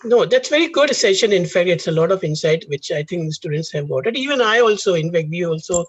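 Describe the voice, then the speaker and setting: A man hosts an online session in a husky voice pitched high for a man. He rambles and gushes as he speaks. The audio is dull and lo-fi, close and dry over a video call. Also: lively intonation, fast, dry recording. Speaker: faculty host.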